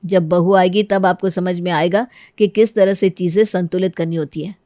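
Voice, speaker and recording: A woman's voice: lowish voice, fairly even intonation, moderate pace, warm tone, dry recording, studio quality, lightly acted. A woman voicing a practical, patient grown son talking to his mother in a moral storybook tale. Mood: patient, amused. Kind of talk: animated moral storybook dialogue.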